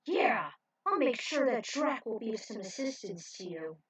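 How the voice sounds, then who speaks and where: A woman's voice: on the high side, sing-song, moderate pace, breathy edge. A woman voicing a loyal, protective man who runs a shipping business, in a firm, reassuring way.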